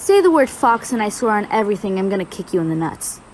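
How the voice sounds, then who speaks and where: A woman's voice: medium pitch, very animated, fast talker, darkish tone, a little hiss or hum, lightly acted, low-quality recording. A woman playing a blunt, teasing young college student with a dry, bossy manner in an audio roleplay.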